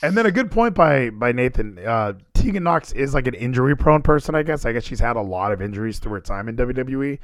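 A man talking casually and animatedly as a podcast co-host, full of quick asides. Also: mid-range voice, animated, no room sound, clean studio sound. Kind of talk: casual wrestling chat.

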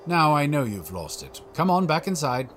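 An actor playing a polite, exasperated theatre producer in an audio drama, with a dry, sardonic, mannered delivery. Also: male voice, a little high, sing-song, normal speed, fairly bright tone, slight background noise. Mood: doubtful.

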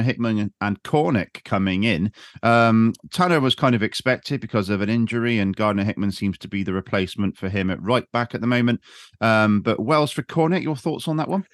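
A man's voice: medium pitch, dry recording, studio quality. A man hosting a football podcast, who leads the casual chat and reads out match events. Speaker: podcast host.

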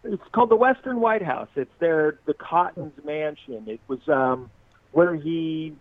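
A man's voice: a little high, unhurried, no room sound. Brief: forties man from Southern California, musician guest, loose and joking, phone-thin and dry. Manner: rambling and jokey, laughs often. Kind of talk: casual podcast interview. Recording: tinny, dry phone-like line.

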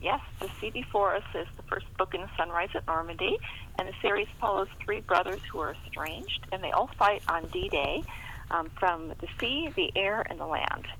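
A woman's voice: mid-range voice, lively intonation, moderate pace, vocal fry, fairly bright tone, a little hiss or hum, rough recording. A middle-aged woman chatting casually as a novelist being interviewed, often chuckling as she talks. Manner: chuckles often while talking. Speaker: guest, romance novelist.

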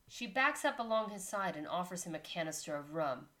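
A woman's voice: fairly low pitch, animated, a little fast, bright, forceful tone. A woman co-hosting a casual podcast, reading a story aloud and stopping to chat about it. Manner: reads story text, breaks off to chat and laugh.

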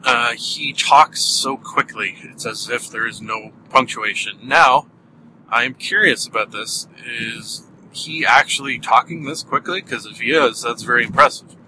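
A man's voice: mid-range voice, a little slow, bright, forceful tone, a little hiss or hum, poor audio. A man with a Canadian accent hosting a casual solo podcast, rambling with many fillers and small chuckles. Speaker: podcast host.

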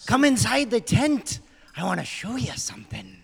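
A man playing an eager carnival barker in improvised radio comedy, with a folksy, coaxing, drawn-out showman delivery. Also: very high voice, wildly swooping pitch, creaky, crowd noise, full character voice. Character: eager drawling male carnival barker luring visitors.

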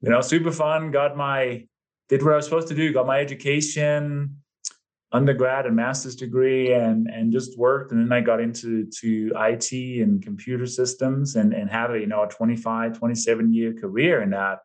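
A man in his fifties with a slight Danish accent, appearing as a podcast guest. He tells his story in an easygoing way at a mid pitch, using plenty of fillers. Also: steady tone, moderate pace, low-quality recording. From Denmark. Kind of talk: casual interview chat.

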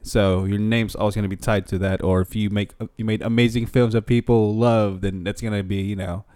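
A man hosting a casual conversation, relaxed and joking. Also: lowish voice, brisk, clean tone, dry recording, clean studio sound. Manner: relaxed, joking, laughs easily. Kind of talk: casual chat.

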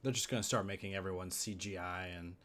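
A man's voice: sits low, steady tone, fast talker, a bit bright. A man chatting casually as the co-host of a podcast. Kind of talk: casual chat about comic book films.

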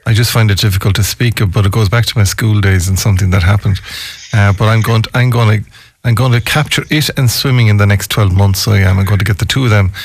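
A man's voice: on the low side, fairly even intonation, fast talker, gravelly, husky, no echo. A man hosting a radio interview, chatty and given to restarts. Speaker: radio host.

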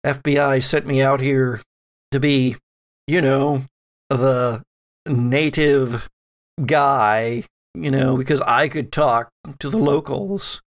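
A man playing a weary, grumbling federal agent in a tabletop game, speaking haltingly in exasperation. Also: medium pitch, lightly acted. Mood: exasperated, resigned.

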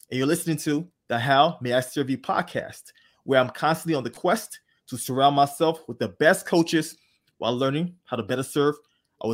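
A man hosting a podcast, introducing a guest and asking questions in an upbeat, enthusiastic way. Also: mid-range voice. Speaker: podcast host.

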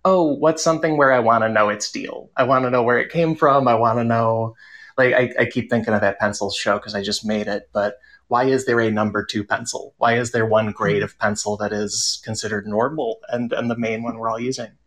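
A man's voice: medium pitch, steady tone, a little fast, a bit bright. A man speaking casually as a podcaster on a panel, conversational and thinking aloud with repeated words. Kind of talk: casual unscripted panel talk.

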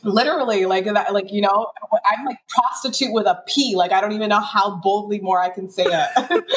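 A woman's voice: medium pitch, moderate pace, slight background noise. A woman guesting on a podcast, chatting frankly and giggling, with a lot of "like" in her speech. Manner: frank, giggly, heavy use of "like". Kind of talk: casual chat.

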